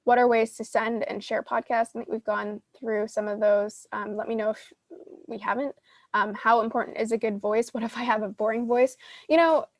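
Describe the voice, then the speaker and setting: A woman's voice: a little high, darkish tone, no room sound. Casual woman giving advice, dry close sound. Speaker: podcast host teaching a live Q&A session. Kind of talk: casual advice, answering audience questions.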